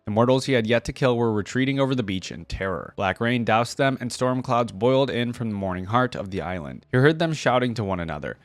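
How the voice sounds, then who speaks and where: Mann mit mittlerer Stimmlage, trocken aufgenommen. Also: male voice, medium pitch, lively intonation, brisk, few pauses, no echo. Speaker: online reaction host. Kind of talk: casual reaction commentary with dramatic read-aloud of fantasy lore.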